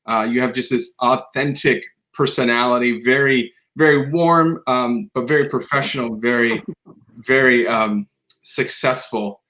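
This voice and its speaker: A man's voice: medium pitch, measured pace, pushed and bright, poor audio. A man hosting a podcast interview, talking in a loose, rambling way with many restarts. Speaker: podcast host. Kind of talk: conversational interview.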